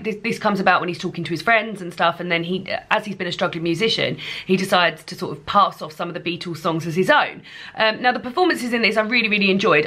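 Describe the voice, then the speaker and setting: Bright-voiced woman, casual and chatty. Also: lowish voice. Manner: chatty, rambling, informal. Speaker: vlogger reviewing books and entertainment. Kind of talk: casual chat to camera. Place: small indoor room lined with bookshelves.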